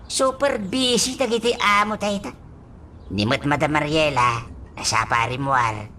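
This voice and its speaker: An actress with a Filipino accent playing a chatty, grumbling household worker in a radio drama. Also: female voice, sits low, measured pace, sharp tone, slight background noise. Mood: gossipy.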